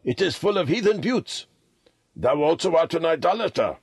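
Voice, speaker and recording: A man's voice: a little high, expressive, moderate pace, creaky, breathy edge, dry recording, studio quality, voice pushed up, heavily acted. A man voicing a proud, scornful young Indian boy in a storybook reading.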